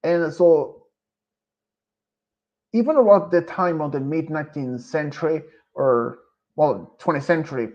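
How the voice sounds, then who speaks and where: A man streaming who speaks English with a Swedish accent as a non-native speaker, talking casually in a hesitant, rambling way with many pauses and fillers. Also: on the high side, darkish tone. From Sweden. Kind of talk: casual live-stream chat. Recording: dull, lo-fi streaming mic.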